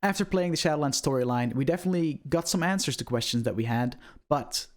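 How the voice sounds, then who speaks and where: A man's voice: a little high, fast talker. A man hosting an online video, giving scripted commentary on video game lore in a presenter's style.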